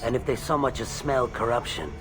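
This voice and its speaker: A man's voice: mid-range voice, expressive, a little fast, close to an everyday voice. A man playing an anxious, put-upon alien merchant in a video game, with a nervous, aggrieved manner. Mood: wary, grim.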